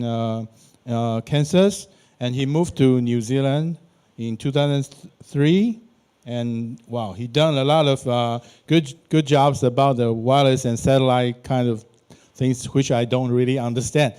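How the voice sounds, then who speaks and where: A man's voice: mid-range voice, mellow, clean tone. Hesitant man chairing a session. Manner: hesitant, many uhs, non-native phrasing. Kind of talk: introducing speakers. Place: large conference hall.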